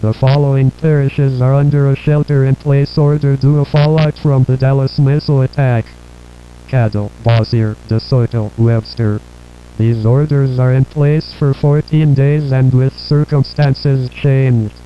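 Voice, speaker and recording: A man's voice: mid-range voice, a little slow. A synthetic male voice reading a scripted emergency bulletin in an impersonal, list-like way as an alert announcer. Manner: flat, impersonal. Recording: dull lo-fi broadcast with hum and noise.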